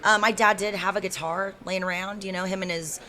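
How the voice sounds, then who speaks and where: A woman in her thirties from Seattle, a country singer-songwriter, chatting frankly in an interview with a deeper voice and lots of ums and you-knows. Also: fairly even intonation, fairly quick, sharp tone, a little hiss or hum. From Seattle, USA. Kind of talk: casual interview storytelling.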